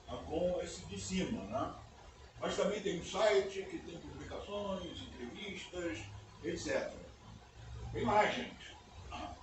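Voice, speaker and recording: Older man lecturing in an echoey hall. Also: on the high side. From United States. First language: English. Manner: conversational, often ends phrases with "né?". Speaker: guest lecturer. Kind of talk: conference lecture. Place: university auditorium. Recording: echoey auditorium, distant mic, background noise.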